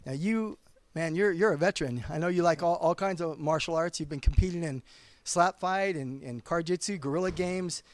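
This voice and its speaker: High-voiced upbeat male ringside host. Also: normal speed, no echo. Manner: upbeat, joking, laughs often. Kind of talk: casual interview.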